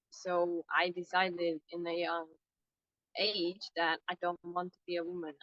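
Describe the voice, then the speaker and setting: A woman from Hungary, speaking as an interview guest on a call, reflective and halting with restarts. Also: lowish voice, a bit bright, no echo, lo-fi sound. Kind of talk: personal conversation on a video call. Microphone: call microphone.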